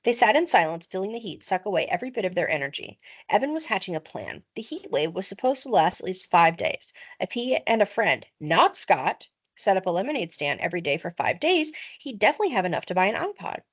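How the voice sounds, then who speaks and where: Female storybook narrator, teacherly, expressive and animated, medium pitch, with dramatic emphasis. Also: a little fast, breathy edge. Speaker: teacher reading aloud.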